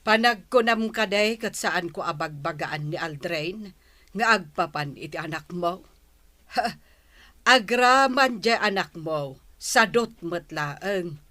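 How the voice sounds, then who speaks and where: A woman's voice: mid-range voice, expressive, slow pace, sharp tone. A woman playing a fed-up, scornful middle-aged mother, blunt and exasperated, in an audio drama. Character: fed-up, scornful mother washing her hands of her son. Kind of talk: Ilocano radio-style audio drama acting.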